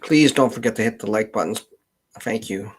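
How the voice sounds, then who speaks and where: A man hosting a casual livestream, talking in a halting, hesitant way and often trailing off. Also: on the low side, slightly rough. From Canada. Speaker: livestream host, genealogist.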